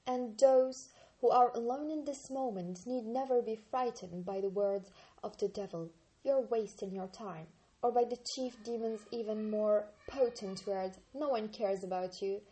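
Young woman reading aloud softly. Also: on the high side, poor audio. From Algeria. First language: Arabic. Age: 20s. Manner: slow, careful reading with occasional slips and restarts. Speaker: channel host reading books aloud.

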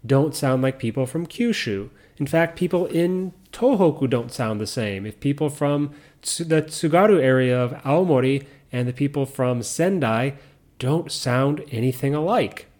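A middle-aged man with a mixed American accent and faint Southern roots, hosting a podcast in slow, clear teaching talk for learners. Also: a little high. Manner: calm, explains step by step, repeats key points. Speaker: podcast host.

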